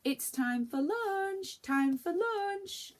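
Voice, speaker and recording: Woman acting a high-calling mother. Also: lively intonation, extremely slow, heavily acted. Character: busy mother calling her children home.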